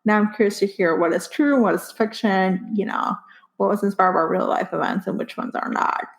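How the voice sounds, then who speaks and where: A woman's voice: mid-range voice, a little fast, vocal fry, poor audio. A woman hosting a book podcast, with English as her second language, encouraging her guests with frequent mm-hmms. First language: not English. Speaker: podcast host. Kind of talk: casual interview chat.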